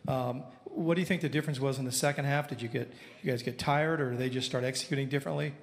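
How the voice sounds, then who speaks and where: Male reporter, rapid and monotone, echoey press room. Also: medium pitch. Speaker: sports reporter. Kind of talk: press conference question.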